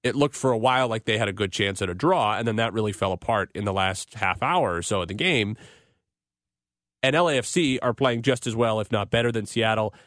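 A man's voice: lowish voice, brisk, fairly bright tone, no echo. Dry, close-miked man hosting sports radio. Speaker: sports radio show host. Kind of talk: live sports radio hosting and soccer analysis.

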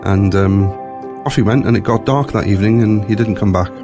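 A man with a low voice and a faint Scottish accent speaks as an interview guest. He tells his own story in a reflective, conversational manner. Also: a little fast, music in the background. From born in Scotland, moved to South Africa at three.

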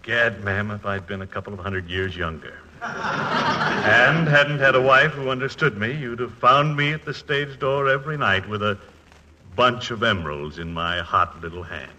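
An actor playing a crusty, hearty older college trustee, gruff and impatient, in a 1940s radio comedy. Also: male voice, fairly low pitch, expressive, normal speed, natural voice. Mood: jovially gallant. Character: blustery, crusty, jovial old college trustee. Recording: faintly noisy old 1940s radio recording.

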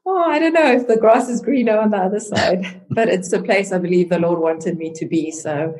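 A woman's voice: mid-range voice, brisk, a little mellow. A middle-aged woman from South Africa whose first language is Afrikaans, talking earnestly about her faith as a guest in an interview and laughing easily.

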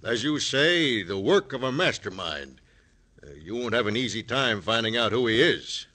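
An actor playing a cautious, secretive American government official of the Old West in a radio drama, speaking in a formal, guarded way. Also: male voice, mid-range voice, expressive, normal speed, sharp tone. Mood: guarded, concerned.

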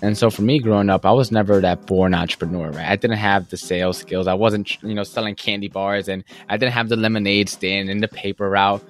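A young man speaks in a fairly low register, earnest and fast-flowing. He leans on "you know" and "right?" as he tells his life story as an interview guest. A faint music bed plays underneath. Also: fairly even intonation. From United States. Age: young adult. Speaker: guest entrepreneur.

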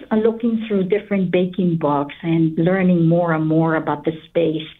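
A middle-aged woman from Guatemala speaking as an interview guest, telling her own story conversationally. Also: mid-range voice. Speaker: interview guest, company founder. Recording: slightly distant, narrow phone-like sound.

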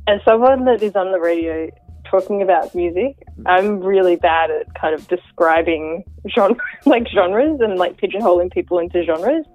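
A woman's voice: fairly low pitch, moderate pace, dry recording, lo-fi sound, soft background music. A woman radio presenter talks in a casual, self-deprecating way, laughing through her words. Her voice is recorded dry and close, with no room sound. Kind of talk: casual interview answer.

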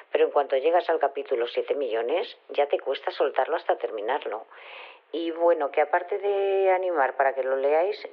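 An actress playing an earnest, bookish woman scientist leaving a sincere voicemail message through a telephone filter. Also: sits low. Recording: tinny phone-line voicemail.

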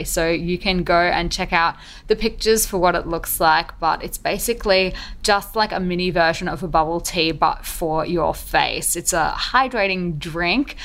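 A woman's voice: lowish voice, a bit bright. A woman hosting a podcast, explaining skincare methods in an upbeat, chatty way. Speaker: podcast host. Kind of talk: podcast monologue.

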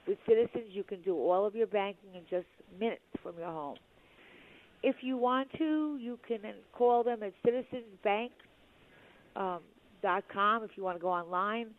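Hoarse chatty woman on talk radio. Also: mid-range voice, no echo. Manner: chatty, with many fillers and restarts. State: a little hoarse. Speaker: radio show host. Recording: dry tinny phone-like radio sound.

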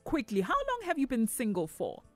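A woman's voice: sits high, wildly swooping pitch, normal speed, no room sound, faint music behind. Teasing South African radio host, woman. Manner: warm, teasing, mixes English and Zulu. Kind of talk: casual radio chat. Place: radio studio.

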